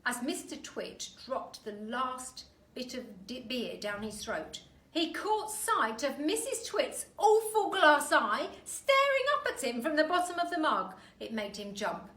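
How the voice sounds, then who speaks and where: A woman reading a children's story aloud like a teacher, expressively and with deliberate, dramatic emphasis. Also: sits high, sing-song, a bit bright. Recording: roomy echo, distant mic, faint noise.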